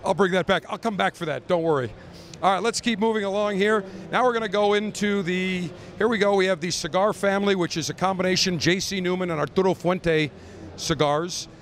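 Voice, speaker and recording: A middle-aged man with a notably high voice runs a live roving tour, a brash, joking showman who teases his guests and keeps things moving. He talks into a handheld mic on the floor of a big indoor convention hall. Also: brisk, a bit bright. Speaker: radio show host touring a trade show. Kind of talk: live roving interviews and walkthrough. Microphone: handheld interview mic.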